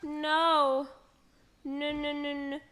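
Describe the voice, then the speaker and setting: A woman's voice: sits high, level tone, drawn-out words, fairly bright tone. A woman live-streaming a video game, chatting casually to viewers and breaking into exclamations with mild minced swearing. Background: game music.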